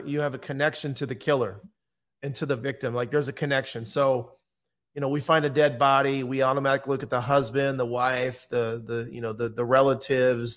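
American male podcast guest, middle-aged, mid-range pitch, matter-of-fact and conversational. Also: fairly bright tone. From United States. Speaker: podcast guest, true-crime interviewer and author. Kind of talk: conversational interview talk. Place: indoor room, on a video call. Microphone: microphone on boom arm.

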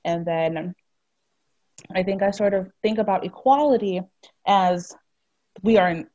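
A woman from Finland, a counselor at a women's shelter, speaking softly as a panelist on a video call. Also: medium pitch, a little slow, no echo, dull audio.

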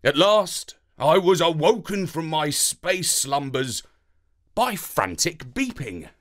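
Man playing an excitable, very animated space dog. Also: fairly high pitch, slow, heavily acted. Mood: storytelling. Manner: theatrical, enthusiastic. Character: excitable, loving, dramatic male space dog narrator. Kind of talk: scripted children's story narration.

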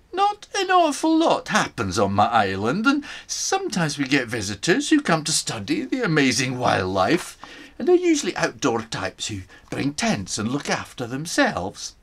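A man acts out a cranky, proud Scottish farmer from a remote island. He tells his storybook tale with folksy emphasis. The exaggerated Scottish accent rises and falls in big, lively swoops. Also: mid-range voice, breathy edge, exaggerated voice. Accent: Scottish, put on and exaggerated. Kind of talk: storybook read aloud in character.

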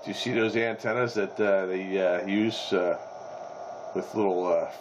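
A man's voice: low-pitched, fairly even intonation, a little slow. A middle-aged or older man chatting casually and jokingly over CB radio. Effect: voice through CB radio. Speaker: CB radio operator. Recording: muffled, very noisy CB radio.

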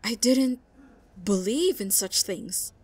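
A woman's voice: on the high side, lively intonation, slow pace, a little mellow, breathy edge, full character voice. A woman playing a shaken, adamant sailor who survived a voyage, in a fantasy audio drama. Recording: faint tavern ambience behind.

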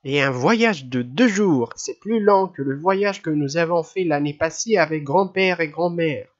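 A man's voice: fairly high pitch, a little slow, voice pushed up, close to an everyday voice. A man doing a prim little girl. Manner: primly formal. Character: prim young girl acting grown-up. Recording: dull lo-fi old recording.

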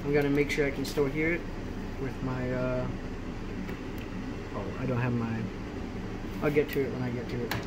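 A man giving a casual, off-the-cuff tutorial in a halting way with frequent check-ins, recorded on a handheld phone indoors. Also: medium pitch. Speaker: tutorial maker. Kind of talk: casual off-the-cuff screen walkthrough. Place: small room indoors at a laptop. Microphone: handheld phone camera filming the screen. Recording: noisy home phone video, steady hum.

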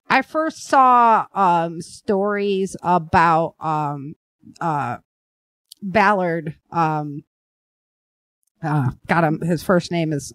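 A woman speaks as a podcast host at a slow, unhurried pace, in a close, dry recording. Her delivery is informal and slightly halting, and she leans on words for emphasis. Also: lowish voice, dry recording. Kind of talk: casual podcast chat.

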